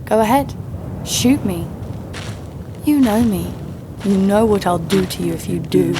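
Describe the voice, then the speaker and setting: A woman's voice: medium pitch, lively intonation, a little slow, background noise, natural voice. A woman playing a tough, sardonic young bounty hunter who narrates an audio drama in a wry, world-weary way. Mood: threatening. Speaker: narrator and lead.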